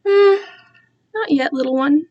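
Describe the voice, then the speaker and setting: A woman's voice: sits high, a little slow, muffled recording, pitched above the performer's own voice. A woman voicing a condescending male captor in a story, cooing and patronizing as he keeps control. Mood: delighted, cooing.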